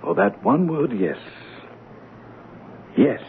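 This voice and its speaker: Through a noisy, tinny radio recording comes a male swindler and schemer. His mid-pitched voice swoops dramatically as he talks in a theatrical, wheedling way. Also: big pitch swings, takes it slowly, creaky, exaggerated voice. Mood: smooth, persuasive. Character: smooth-talking swindler, persuasive, grandiose schemer. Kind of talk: 1970s radio drama acting.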